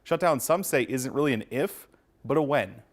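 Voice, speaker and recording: A man's voice: mid-range voice, lively intonation, moderate pace. A man with an American accent giving a live news report as a reporter.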